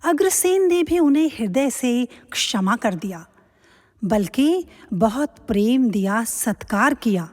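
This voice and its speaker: A woman narrates a scripted story in a fairly high voice. Her pace is slow. Long hall-like reverb trails her words. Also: lively intonation, a little mellow.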